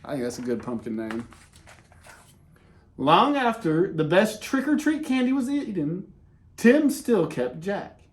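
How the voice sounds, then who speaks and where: Animated, higher-pitched man reading a storybook. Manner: expressive, with dramatic pauses and emphasis. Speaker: storyteller reading a children's picture book. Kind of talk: animated read-aloud with casual asides.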